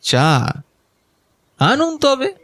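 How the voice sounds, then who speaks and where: A man's voice: extremely high pitch, wildly swooping pitch, extremely slow, fairly bright tone, slight background noise, exaggerated voice. An actor playing a greedy, resentful village man in an audio horror story, complaining and wheedling in a folksy way. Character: grumbling, greedy, resentful village man, fawning on his elder.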